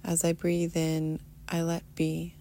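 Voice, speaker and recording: A woman leading a guided meditation in a soothing, unhurried manner, often trailing into a breathy near-whisper. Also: lowish voice, monotone, warm tone, a little hiss or hum. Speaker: guided meditation teacher, podcast host. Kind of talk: guided meditation, soft instruction.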